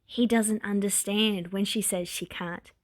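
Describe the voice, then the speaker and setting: A woman narrating a written story with expressive, wry, exasperated emphasis. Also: mid-range voice, unhurried, no echo, clean studio sound. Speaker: podfic narrator reading fan fiction.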